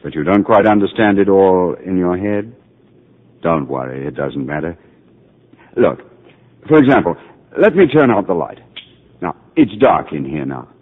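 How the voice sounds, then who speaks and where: A calm, patient psychiatrist. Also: male voice, sits low, moderate pace, pauses often, slightly rough, full character voice. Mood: reassuring, gentle. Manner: gentle, patient, explaining. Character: calm, patient middle-aged male psychiatrist. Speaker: narrator and doctor. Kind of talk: 1940s radio acting. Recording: tinny old radio broadcast.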